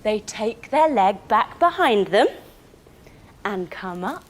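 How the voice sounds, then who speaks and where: A woman leading a children's yoga story in a playful, expressive, encouraging way, acting out the movements and sounds as she goes. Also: medium pitch, takes it slowly. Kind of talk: animated storytelling lesson for young children.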